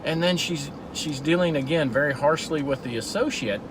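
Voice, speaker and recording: A man's voice: a little high, normal speed, noisy background. A middle-aged man from Georgia, telling a story in an earnest casual way as he drives. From Georgia, USA. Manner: earnest, storytelling, with repeated restarts. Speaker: vlog host. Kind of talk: casual talk while driving. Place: in a car.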